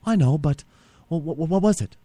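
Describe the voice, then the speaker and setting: A man's voice: on the high side, expressive, dark tone, dry recording, close to an everyday voice. A man voicing a chipper, nosy co-host who keeps pushing for gossip. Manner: rapid, prying, innocent-sounding.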